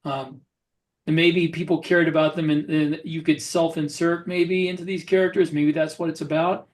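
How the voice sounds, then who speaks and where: Higher-pitched, reflective man. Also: fairly even intonation, moderate pace. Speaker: podcast co-host. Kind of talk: casual discussion.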